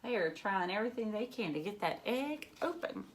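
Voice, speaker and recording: A woman reading a children's picture book aloud in an expressive, emphatic way, with asides and questions to young listeners. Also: mid-range voice, fairly quick.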